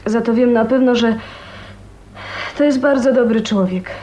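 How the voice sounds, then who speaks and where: An actress playing a gentle, resigned, ailing young woman in a radio drama, hesitant and soft-hearted. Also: fairly high pitch, a little slow, lightly acted. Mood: thoughtful. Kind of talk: Polish radio drama acting.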